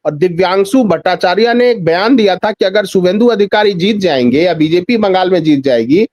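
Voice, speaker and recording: A man with a high voice gives political commentary in a dry-sounding interview. He speaks emphatically, repeating phrases for weight. He often drives his points with rhetorical questions. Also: lively intonation, a little fast, darkish tone, no echo, muffled recording.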